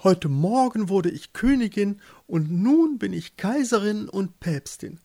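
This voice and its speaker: Mann spielt hochnäsige, hoch tönende Päpstin. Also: male voice, high voice, lively intonation, warm tone, pitched above the performer's own voice, heavily acted. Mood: grandly dissatisfied. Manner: stately, condescending, drawn-out. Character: haughty fisherman's wife turned female pope, grandiose, restless.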